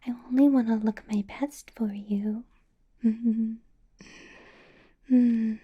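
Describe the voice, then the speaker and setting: A woman's voice: a little high, steady tone, slow pace, highs cut off. Woman playing a whispering, possessive vampire boss. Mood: flirtatious, doting. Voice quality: breathy, soft whisper. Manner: coaxing, condescending, controlling sweet talk. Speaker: ASMR roleplay performer. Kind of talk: ASMR roleplay, close whispered personal attention.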